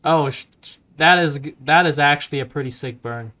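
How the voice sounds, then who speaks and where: A man's voice: fairly high pitch, fairly quick, bright, forceful tone. A man giving informal, amused gameplay commentary, thinking aloud as he reacts. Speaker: let's play commentator. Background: game music.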